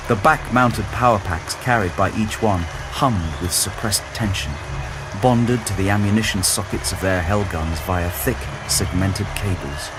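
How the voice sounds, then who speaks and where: A man narrating a scripted audio drama in a measured, solemn, dramatic storytelling style. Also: fairly low pitch, low-quality recording.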